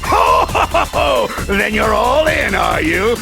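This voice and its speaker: Mad scientist game villain, pompous, gloating, booming, gravelly, wildly swooping delivery, music underneath. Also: male voice, big pitch swings, moderate pace, sharp tone, full character voice. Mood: triumphant, taunting. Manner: booming, pompous, bellowed villain laughs and taunts. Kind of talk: theatrical video game cutscene acting.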